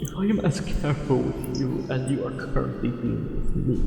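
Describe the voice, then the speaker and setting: A man's voice: mid-range voice, animated, normal speed, creaky, mellow. A man playing a lovestruck, possessive merman in an ASMR roleplay, speaking in formal, old-fashioned phrases with tender teasing. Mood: tender, enchanted. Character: lovestruck, possessive male angler merman, courtly and seductive. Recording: heavy cavernous echo, music underneath.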